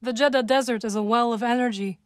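An actress voicing a composed, dry-witted young witch warrior in a video game, speaking in measured, formal phrases. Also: a little high, fairly even intonation, no room sound, natural voice. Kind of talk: video game character dialogue.

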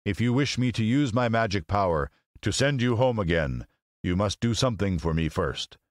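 A man's voice: lowish voice, animated, moderate pace, no echo, studio quality, lightly acted. A man voicing a stern, commanding great wizard in the form of a giant head, speaking in imperious, emphatic pronouncements for a storybook. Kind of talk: storybook character voice.

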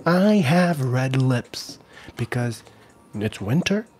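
A middle-aged man casually chatting with viewers on an ASMR live stream, drifting between soft murmured talk and whispers close to the mic. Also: mid-range voice, very animated, slow, husky. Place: small indoor gaming room. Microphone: handheld-style recorder mic close to the mouth.

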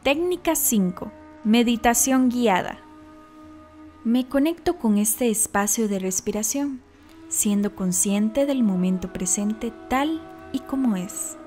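Mellow woman guiding meditation. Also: mid-range voice. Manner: calm, deliberate, instructional. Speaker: guided meditation narrator. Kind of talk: scripted guided meditation narration.

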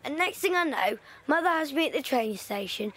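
A woman's voice: high-pitched, lively intonation, fairly quick, fairly bright tone. An actress playing a thoughtful young girl evacuated from London, telling her story in a reflective way. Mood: recalling. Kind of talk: audio drama acting.

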